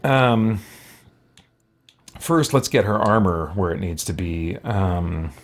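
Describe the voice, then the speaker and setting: A man's voice: sits low, lively intonation, slow pace. Man thinking aloud during gameplay. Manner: thinking aloud, muttering to himself. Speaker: let's play host. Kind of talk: casual gameplay commentary.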